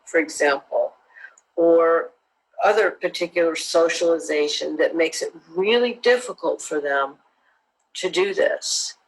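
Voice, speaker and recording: A woman's voice: fairly low pitch, rough recording. Earnest woman teaching self-defense. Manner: earnest, motivational, measured. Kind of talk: guest interview on an online summit.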